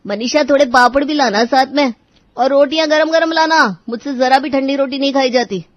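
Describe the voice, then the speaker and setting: Woman doing entitled lazy son, whiny, demanding, high voice, cartoon family tale, dry recording. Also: moderate pace, no echo, lo-fi sound, lightly acted.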